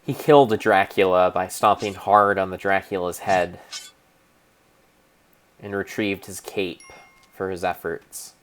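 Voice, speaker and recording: A man narrating his own gameplay as a solemn, theatrical dramatic reading, with pauses and small slips. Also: low voice, steady tone, a bit bright, a little hiss or hum. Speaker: game streamer narrating play. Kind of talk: mock-dramatic storybook narration over gameplay.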